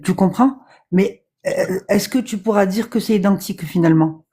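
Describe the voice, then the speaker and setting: A guest with a dark, husky voice explains a point patiently on a live stream. The talk is full of fillers and repeated words, but it stays steady. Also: medium pitch, normal speed. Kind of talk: casual debate on a live stream.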